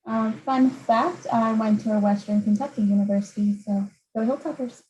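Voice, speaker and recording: Marketing director lady, mellow voice, dull audio w faint noise behind. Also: fairly high pitch, fairly even intonation, moderate pace. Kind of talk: video call introduction. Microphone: video call.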